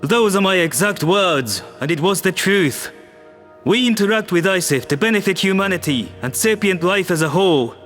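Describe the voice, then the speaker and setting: Man doing a firm, measured human leader. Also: sits high, lively intonation, a bit bright, faint music behind. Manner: measured and persuasive. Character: calm firm human male world leader. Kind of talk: audio drama acting.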